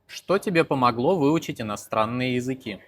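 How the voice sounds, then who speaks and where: Man, interviewer, mid-range voice, plain even questions. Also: medium pitch, normal speed.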